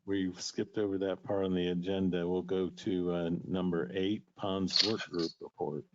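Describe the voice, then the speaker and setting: A man's voice: low-pitched, flat delivery, moderate pace, highs cut off, very noisy. A man chairing a committee meeting, calling a roll vote in brief, procedural lines.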